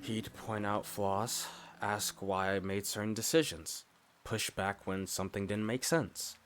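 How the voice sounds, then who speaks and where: A man playing a blunt, steady, reassuring furry character in a scripted ASMR roleplay, speaking in short, deliberate phrases. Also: lowish voice, lightly acted. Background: music.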